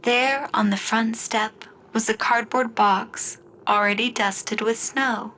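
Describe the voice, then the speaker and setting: A woman narrating a scripted first-person story in the style of an old-time radio show, with deliberate storytelling phrasing. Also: fairly high pitch, steady tone, sharp tone, slight background noise, rough recording. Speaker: writer and narrator of an audio story.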